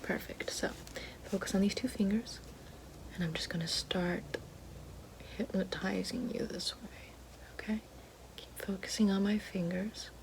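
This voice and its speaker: A woman playing a vain, bitter horned evil fairy sorceress in a whispered and soft-spoken ASMR roleplay, with precise, coaxing delivery. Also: mid-range voice, steady tone, a little slow, vocal fry, long pauses, low-quality recording. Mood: soothing, coaxing. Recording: soft whispering over loud background noise.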